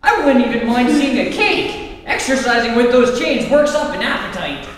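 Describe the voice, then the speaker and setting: A woman's voice: on the high side, expressive, normal speed, close to an everyday voice. A woman playing an anxious, wisecracking boy sidekick in an old-time radio adventure serial, performed on stage. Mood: wisecracking, eager. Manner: slangy, wisecracking. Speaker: amateur theatre actor. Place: live theatre stage performance. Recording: echoey hall from far off, faint noise.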